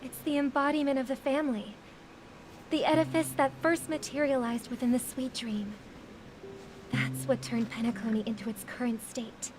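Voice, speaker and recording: A woman's voice: high voice, fairly even intonation, normal speed, natural voice, poor audio. An actress playing an astute, knowing woman in a video game, delivering scripted lines over music.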